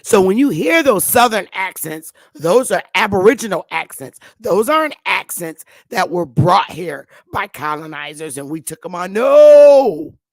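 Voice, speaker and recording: Woman from the northern US, emphatic lecture style, mid-pitched voice, dry close sound. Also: big pitch swings, dry recording. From northern United States. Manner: emphatic, preachy, with slow drawn-out stresses. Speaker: online talk show host. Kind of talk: casual teaching monologue.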